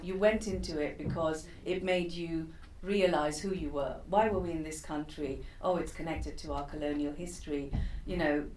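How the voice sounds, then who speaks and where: A woman in her fifties, from East Africa, talks off the cuff with lots of ums and restarts. Her voice sits a bit low. It is picked up from a distance in an echoing room with faint noise behind. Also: fairly even intonation, normal speed, darkish tone. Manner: chatty, many fillers and restarts. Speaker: keynote speaker. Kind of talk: unscripted personal talk. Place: conference room.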